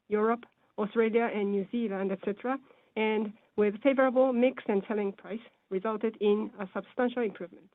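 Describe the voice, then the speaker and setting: A woman giving a formal, scripted financial presentation in a measured, businesslike way over a conference call. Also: medium pitch, no room sound. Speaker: presenter on an earnings call, speaking as or interpreting for a company executive. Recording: phone-like narrow-band conference call line.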